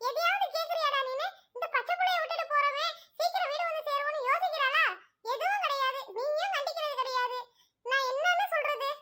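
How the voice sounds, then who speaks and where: Woman voicing a shrill nagging mother-in-law. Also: fairly quick. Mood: scolding. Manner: nagging, calls out loudly. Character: scolding old mother-in-law, sharp-tongued. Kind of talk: cartoon voice acting.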